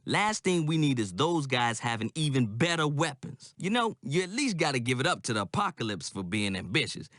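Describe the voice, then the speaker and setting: An actor playing an excitable street informant in a video game, talking in streetwise slang. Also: male voice, medium pitch, brisk, few pauses, no echo, clean studio sound. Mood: urgent, streetwise. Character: excitable male street informant tipping off a superhero.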